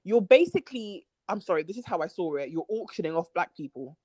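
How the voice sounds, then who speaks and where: A woman's voice: lowish voice, lively intonation, fairly quick, mellow, slightly rough, dry recording. A woman co-hosting a casual chat about a TV series, emphatic and slangy. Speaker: podcast co-host.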